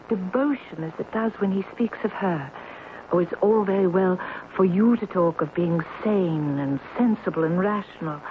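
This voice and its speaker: An actress as a wistful, fervent woman, low-voiced. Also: lightly acted. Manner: halting, impassioned, persuasive. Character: wistful, fervent woman devoted to a romantic illusion. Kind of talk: old-time radio drama acting. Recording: tinny, hissy old radio recording.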